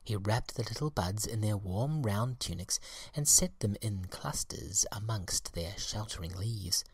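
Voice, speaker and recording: A man reading a children's tale as a storyteller, expressive and measured, with a husky, breathy voice. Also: lowish voice, no echo. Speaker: storybook reader.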